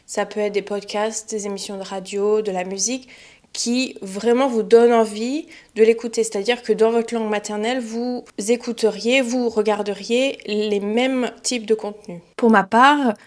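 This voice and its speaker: A young woman, a French teacher, giving a relaxed spoken lesson to learners, chatty and often pausing with fillers and restarts. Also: on the high side. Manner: chatty, many fillers and self-corrections.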